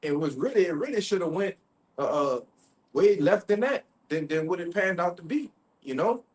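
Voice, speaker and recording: A man's voice: sits high, lively intonation, moderate pace, a little mellow. A man preaching informally on the street, expounding scripture and often checking in with tags like "all right?" and "you see?".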